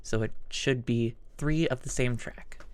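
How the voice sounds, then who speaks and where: A man hosting an informal software tutorial, thinking aloud haltingly as he demonstrates each step. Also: medium pitch, clean tone. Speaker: tutorial host. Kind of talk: casual screen-recorded software tutorial.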